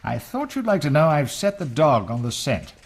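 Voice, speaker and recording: An actor playing a discreet British intelligence brigadier with a clipped, formal manner in a wartime radio drama. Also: male voice, on the high side, very animated, normal speed. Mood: measured.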